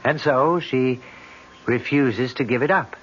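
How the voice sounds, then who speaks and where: An actor playing a measured storyteller in a radio drama, deliberate with dramatic pauses. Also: male voice, mid-range voice, a bit bright. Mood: measured, solemn. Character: measured storyteller recounting legend of a goddess. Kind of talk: 1970s radio drama acting. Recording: lo-fi old radio with faint noise.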